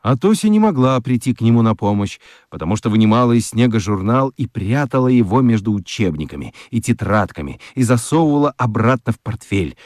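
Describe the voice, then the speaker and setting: A man narrating a children's radio story with dramatic, expressive delivery and deliberate pauses. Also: fairly low pitch, no room sound, studio quality.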